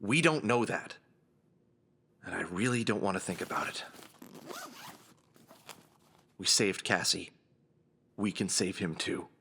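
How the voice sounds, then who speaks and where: A man's voice: sits low, moderate pace, gravelly, pushed and bright, a little hiss or hum, heavily acted. A man playing a determined fox adventurer who leads his team earnestly in an audio drama. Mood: defensive.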